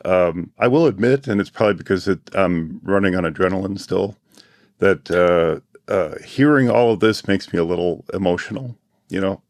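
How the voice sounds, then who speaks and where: A man with a very low voice, hoarse from losing it, talks about his event in a heartfelt, reflective way. He clears his throat often between thoughts. The sound is dry and close. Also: normal speed, darkish tone, dry recording. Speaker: conference organizer. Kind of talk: casual panel chat.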